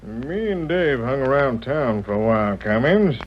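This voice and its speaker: An actor playing a rough, trigger-happy outlaw henchman in an old-time radio Western, drawling folksy American cowboy talk. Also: mid-range voice, very animated, measured pace. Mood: plotting. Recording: muffled old radio recording with hiss.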